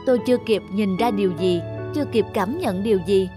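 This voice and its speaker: A woman voicing a weary, philosophical male guide in a cartoon dub, speaking slowly and solemnly over music. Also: medium pitch, natural voice. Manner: slow, solemn, deliberate. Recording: music under the voice.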